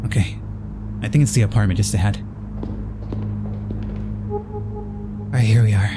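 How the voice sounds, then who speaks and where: A man's voice: lowish voice, fast talker, loud music behind the voice, natural voice. A man voicing an ambitious, opportunistic female TV reporter in a horror game, in an assertive, matter-of-fact way. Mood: confident. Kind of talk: reading game dialogue in character.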